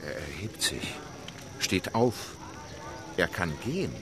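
Radio drama: polite, composed English gentleman, deep bass register, expressive melody. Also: full character voice. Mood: urgent. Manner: formal, polite, measured. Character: composed, generous, unflappable English gentleman traveller.

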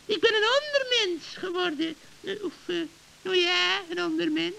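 A piercingly high old witch, creaky and scheming. She gushes and wheedles in sugary tones, with echoey reverb and hiss around her. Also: normal speed, sharp tone, exaggerated voice. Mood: sweetly gushing. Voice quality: creaky, shrill. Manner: wheedling, gushing. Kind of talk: children's radio play acting. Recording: hissy old recording, echoey hall reverb.

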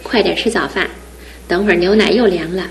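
An actress playing a caring young bride who speaks calmly and with gentle firmness. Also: female voice, fairly low pitch, brisk, creaky, slight background noise. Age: young adult. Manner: warm, calm, gently firm. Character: caring new bride, steady and sensible. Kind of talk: 1970s radio drama acting.

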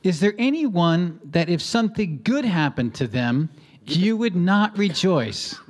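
A man's voice: high-pitched, takes it slowly. A man from the New area, preaching a sermon in short phrases for an interpreter and acting out conversations as he tells stories. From New York area. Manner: lively storytelling, acting out conversations. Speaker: guest preacher.

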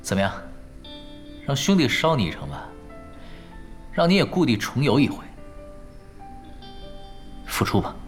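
An actor in a Chinese audio drama playing a laid-back veteran pro gamer, teasing and persuasive in an unhurried way. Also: male voice, medium pitch, sing-song. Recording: background music under the dialogue.

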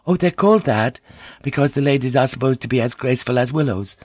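A man voicing a poised, insistent noble Japanese woman who coaxes and pleads gently. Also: medium pitch, animated, fast talker, no echo, voice pushed up, close to an everyday voice. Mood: coaxing.

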